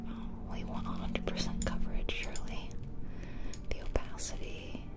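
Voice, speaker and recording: A woman's voice: normal speed, loud music behind the voice. A woman whispering breathily and close to the mic, musing aloud in casual, chatty ASMR commentary on a video game. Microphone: close ear-to-ear ASMR microphone.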